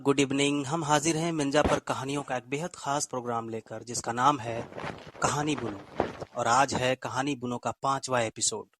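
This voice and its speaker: A man's voice: mid-range voice, background noise. Man hosting with formal, literary phrasing. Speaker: programme host. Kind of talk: poetic scripted show introduction.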